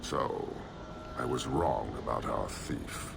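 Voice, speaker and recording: An actor playing a stern, proud giant military commander in a video game, speaking in a grave, formal, declamatory way. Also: male voice, a bass voice, slow pace, gravelly, noisy background, exaggerated voice. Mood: cold disdain.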